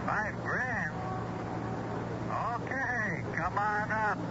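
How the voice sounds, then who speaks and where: An actor playing a gruff, money-hungry, suspicious middle-aged man in a radio drama, talking in blunt slang. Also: high voice, animated, extremely slow, heavily acted. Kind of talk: 1970s radio drama acting. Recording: muffled, noisy old radio recording.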